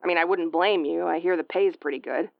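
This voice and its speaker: A woman's voice: lowish voice, a little fast, creaky, no room sound. A tough tomboyish starship commander, played by a woman in a sci-fi audio roleplay. She is brusque and prickly with a caring streak, tripping over words when flustered. The sound is very dry and slightly muffled. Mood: guarded, teasing. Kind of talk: intimate audio roleplay acting.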